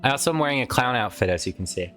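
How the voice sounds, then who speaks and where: A man's voice: mid-range voice, expressive, very fast, sharp tone, soft background music. A man casually commenting while playing a video game, chatty and rambling with some swearing, his voice tired from a lot of recent use. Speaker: let's play host.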